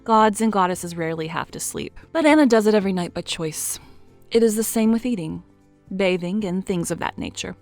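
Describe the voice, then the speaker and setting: A woman narrating an audio drama in a soft, storybook manner. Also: fairly low pitch.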